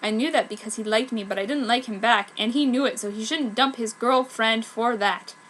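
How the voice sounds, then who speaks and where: A woman's voice: fairly high pitch, brisk, a bit bright, slight background noise, poor audio. A woman reading fan fiction aloud as a dramatic narrator.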